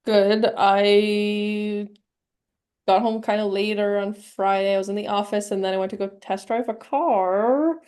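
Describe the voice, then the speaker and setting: A woman's voice: medium pitch, steady tone, a little slow, darkish tone, clear, resonant tone. A woman co-hosting a casual podcast, teasing her friend and trailing off while she thinks. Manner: playful teasing, hesitant when thinking. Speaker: podcast co-host. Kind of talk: casual chat.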